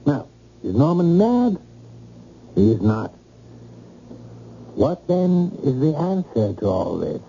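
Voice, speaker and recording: A man's voice: a little high, big pitch swings, slow pace, gravelly, long pauses, dark tone, slight background noise, exaggerated voice. A man playing a grave, earnest doctor with emphatic rhetorical phrasing, in old-time radio drama style on a muffled recording.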